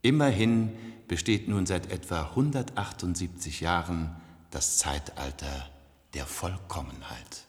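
A man acting a complacent, cultured ruler of a future elite in a 1970s radio play, suave, measured and condescending, in an echoey hall. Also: low-pitched. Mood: proud lecturing.

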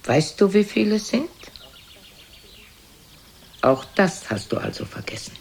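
An older woman playing a frail, sharp-tongued, reproachful old mother in a German radio play, scolding theatrically and dropping into whispers. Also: mid-range voice, sing-song, unhurried, vocal fry. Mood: reproachful, imperious. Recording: noisy older radio recording.

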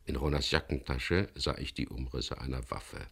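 An actor playing a resolute, daring hero who also narrates his story in a gravelly voice in a radio crime drama. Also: rumbling low, normal speed, fairly bright tone, full character voice. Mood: measured narration.

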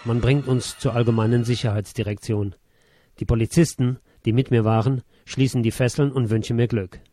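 A man narrates a first-person memoir in a measured, sober manner, pitched squarely in the middle of the male range. He reads close to the microphone, and the recording is dry, with no room sound at all. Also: fairly even intonation, dark tone, dry recording.

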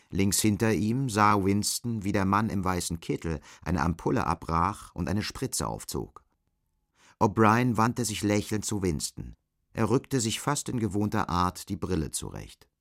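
A man's voice: low-pitched, lively intonation, unhurried, a bit bright, dry recording, clean studio sound. A man narrating an audio drama in scripted literary prose.

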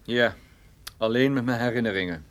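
An actor playing a wry, gloomy male private detective, narrating a radio play in a dry, ironic, measured way. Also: medium pitch, natural voice.

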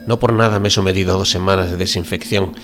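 A man's voice: low voice, fairly even intonation, quick pace, a bit bright, slight background noise. A man playing a courteous, fussy, deferential ship's doctor in a radio drama, speaking formally and politely. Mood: insistent, anxious. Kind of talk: amateur radio drama reading.

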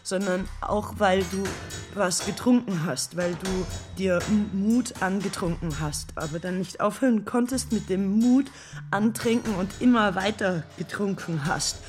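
A woman's voice: mid-range voice, unhurried, faint music behind, lightly acted. An actress playing a bitter, lovesick woman worn down by endless waiting, in an intense radio drama monologue. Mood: brooding, haunted. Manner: intense, brooding, building from hushed to agitated.

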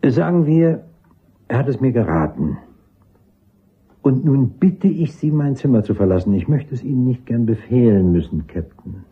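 Husky, animated army psychiatrist. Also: male voice, mid-range voice, moderate pace, phone-quality sound. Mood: stern, dismissive. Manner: didactic, formal, clipped military address. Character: brisk, authoritative male army psychiatrist with major's rank. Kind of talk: German radio drama acting.

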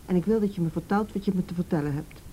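A woman playing a calm, middle-aged counsellor in a radio drama, patient, direct and matter-of-fact. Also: fairly low pitch, rapid-fire, a little hiss or hum, natural voice, poor audio. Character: calm, firm female counsellor questioning a girl. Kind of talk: naturalistic radio drama acting.

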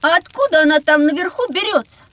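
A woman voicing an excitable, curious young child in an audio drama for children, with exclamatory delivery. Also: sits high, fast, noisy background. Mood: curious, eager.

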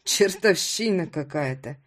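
Woman playing an impatient, proud man, pitched low. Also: animated, unhurried. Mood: sardonic. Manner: demanding, sardonic. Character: impatient, proud, wealthy stranded man demanding his way out.

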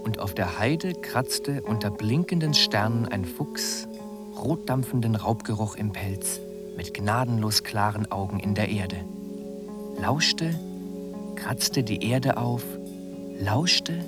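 Brooding, low-voiced storyteller. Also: male voice, animated, slow. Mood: grave, poetic. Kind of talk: radio drama narration and dialogue. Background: music.

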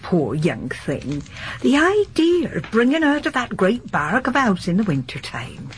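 A woman's voice: medium pitch, wildly swooping pitch, a little slow. An actress playing a garrulous middle-aged village landlady in a radio drama, with a chatty, folksy manner. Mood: chatty, hospitable. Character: gossipy, garrulous older village landlady and charwoman. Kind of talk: broadcast radio drama acting.

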